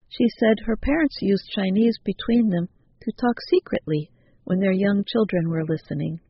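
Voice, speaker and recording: A woman reading a scripted news report in a measured, even delivery. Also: medium pitch, breathy edge, no room sound, dull audio. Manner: measured, evenly paced delivery. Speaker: news reader.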